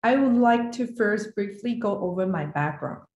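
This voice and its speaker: A woman's voice: mid-range voice, expressive, normal speed, a little mellow. Echoey woman presenting. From Taiwan. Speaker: finance executive, guest speaker. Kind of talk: prepared online presentation. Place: indoor room on video call. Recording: roomy, echoey online call audio.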